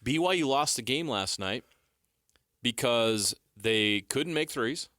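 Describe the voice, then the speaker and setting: A man's voice: medium pitch, expressive, slow, bright, forceful tone, no room sound, studio quality. A man co-hosting sports radio with blunt, emphatic banter and analysis.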